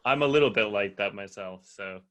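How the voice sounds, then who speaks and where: Bright-toned young man, hesitant and halting. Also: on the low side. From Estonia. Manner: hesitant, many fillers and restarts. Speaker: artist in a collaboration. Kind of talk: casual video-call chat. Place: apartment in a quiet block.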